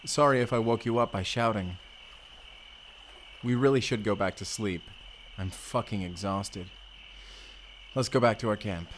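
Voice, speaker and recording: Faint-to-clear background noise, lowish young male voice by an actor, Spanish vampire slayer, intimate, protective, audio drama. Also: unhurried, pauses often, lightly acted. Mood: weary, evasive. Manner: speaks closely to one listener, teasing and soothing. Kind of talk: cinematic audio roleplay acting, intimate.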